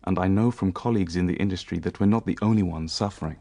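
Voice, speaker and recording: An actor playing an assured, businesslike professional man in a radio drama, speaking in a measured, matter-of-fact way. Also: deep voice, gravelly, dark tone. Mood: polite, assured.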